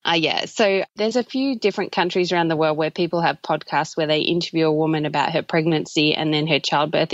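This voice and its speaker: A woman's voice: low-pitched, no echo, dull audio. An Australian woman in her thirties, a podcast guest chatting casually with lots of ums and small laughs. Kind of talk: casual interview chat.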